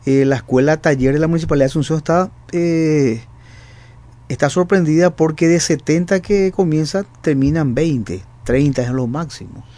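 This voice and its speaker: A woman hosts an interview. Her voice is extremely low and mellow. She backs up her guest with frequent short agreements. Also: normal speed. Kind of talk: conversational interview.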